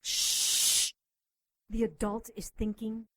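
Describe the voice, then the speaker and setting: An actress playing a tough, sardonic occultist doctor in an audio drama, commanding and quick to threaten. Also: female voice, on the high side, monotone, bright, forceful tone, no echo, heavily acted. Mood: dry, menacing.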